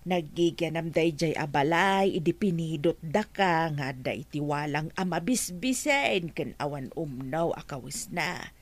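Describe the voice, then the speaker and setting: An actress playing a sharp-tongued wife and mother who nags and mocks. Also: deep voice, expressive, a little slow, dry recording. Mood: earnest. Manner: nagging, sarcastic.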